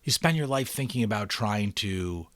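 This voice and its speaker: A middle-aged man who reads and hosts an audiobook in an expressive, earnest way, with casual commentary. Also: fairly low pitch, normal speed, no room sound. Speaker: audiobook reader and host. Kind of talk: dramatic book narration with casual commentary.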